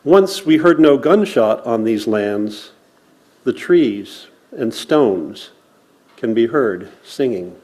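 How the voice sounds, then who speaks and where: A man speaking at a worship service, reciting in a solemn, measured way with deliberate pauses. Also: mid-range voice, expressive, a little mellow. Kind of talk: land acknowledgement and poetry recitation to a congregation.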